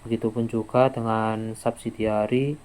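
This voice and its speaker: A young man giving an explanatory class presentation in an informal, halting way, often repeating words. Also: on the low side, little pitch movement, darkish tone, low-quality recording. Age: young adult. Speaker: student presenter.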